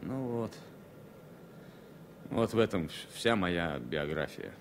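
An actor playing a talkative, wry former military pilot in his thirties, speaking in a halting, informal way in a radio drama. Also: sits low, animated, slight background noise. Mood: wistful, guarded. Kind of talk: Soviet radio drama acting.

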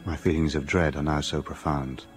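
An actor playing a haunted, doomed gentleman voicing a desperate letter with solemn dread in a radio drama. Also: very deep voice, steady tone, normal speed, over music.

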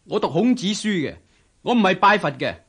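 An actor playing a haughty, irritable young Confucian scholar in a radio drama, scornful and challenging, with a Hong Kong Cantonese accent. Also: male voice, high-pitched, very animated, a little fast, fairly bright tone, breathy edge, heavily acted. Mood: irritated, scornful.

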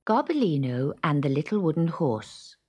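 A British woman narrating a children's fantasy story in a deliberate, dramatic manner. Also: low-pitched, very animated.

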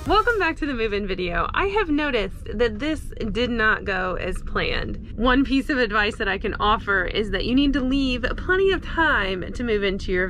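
A woman's voice: a little high, a bit bright, a little hiss or hum. Chatty woman vlogging in a camper van. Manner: chatty, upbeat, talking to camera while packing. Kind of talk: casual vlog narration. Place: inside a small camper van.

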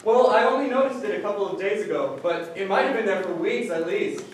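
A man's voice: high voice, a little fast, a crowd in the background, lightly acted. A young man on stage playing a sheepish, good-natured sitcom husband in an earnest, theatrical style. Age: young adult. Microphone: far camera. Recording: very echoey noisy theater, distant camera.

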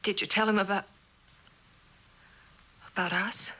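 An actress playing an unhappy, torn wife in a mid-century suspense drama, with a husky voice. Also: female voice, medium pitch, level tone, a little slow, pushed and bright. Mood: anxious, pleading.

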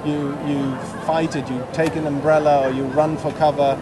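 A man in his thirties, an artist, explains his work in a thoughtful, halting way, often restarting his sentences. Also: a little high, flat delivery, heavy background noise. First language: German. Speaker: artist and exhibit creator. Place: outdoors on a busy city plaza. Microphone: handheld microphone. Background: loud music.

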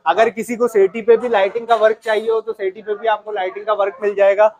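A high-pitched man with a Karachi Urdu accent, walking a buyer through a furniture set. He talks steadily, naming each feature in turn and answering questions with quick agreement. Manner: steady, explains features point by point, keeps agreeing. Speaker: furniture shop salesman. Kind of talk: showroom product walkthrough.

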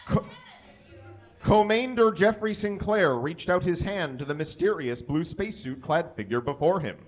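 Male live comic reader, thirties, animated pitch swings, unhurried and halting. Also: muffled recording. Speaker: live show performer reading aloud. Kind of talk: comic live reading of fan fiction to an audience. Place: small indoor stage with curtain and brick wall. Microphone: microphone on a stand.

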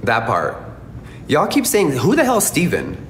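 A man's voice: wildly swooping pitch, normal speed, fairly bright tone. A man sarcastically and indignantly denying an accusation. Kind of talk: defensive clip played on stream. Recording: roomy clip with faint rumble.

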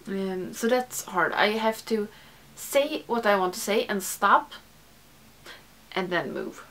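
A woman's voice: medium pitch, slow pace, a bit bright. A young woman talks straight into her camera from a small room lined with makeup shelves. She speaks English as a second language and her talk is casual and rambling. She often stops mid-sentence and starts it over. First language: not English. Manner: rambling, self-correcting, frequent "like". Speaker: beauty YouTuber. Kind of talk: casual chat to camera, answering a tag.

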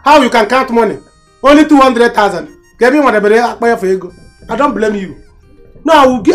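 A woman's voice: a little high, close to an everyday voice. Actress as a self-important nigerian woman, worked up, dramatic, says stuff over and over, faint music behind. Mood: scornful. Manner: dramatic, repetitive. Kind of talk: screen drama acting.

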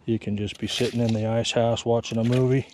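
A man's voice: mid-range voice, level tone, fairly quick, darkish tone, a little hiss or hum. A man casually explaining his ice fishing setup outdoors on a frozen lake, plainspoken and self-deprecating, recorded on a body-worn action camera. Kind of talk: casual how-to narration.

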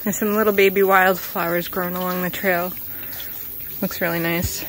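A woman's voice: fairly low pitch, flat delivery, moderate pace, a bit bright, a little hiss or hum, rough recording. A woman vlogger chatting casually as she walks a wooded trail outdoors, pointing things out as she goes. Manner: chatty, easygoing, points things out while walking. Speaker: hiking vlogger.

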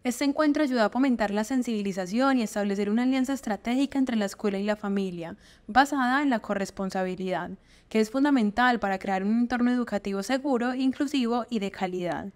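A woman's voice: on the high side, fast, few pauses, a little mellow. A woman reading scripted news narration as a voice-over.

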